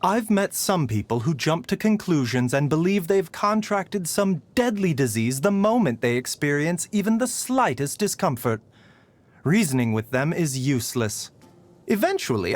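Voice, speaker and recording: An actor voicing a genteel, scholarly male physician for a game, frail in health, speaking in a polite, measured, gently wry way. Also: fairly high pitch. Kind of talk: scripted game character voice lines.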